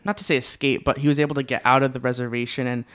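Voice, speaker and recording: A man's voice: medium pitch, level tone, very fast, a bit bright, smooth. A man in his twenties from Southern California, a podcast guest telling his family story in an easygoing way, with many ums and frequent laughs. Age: 20s. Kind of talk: casual conversational interview.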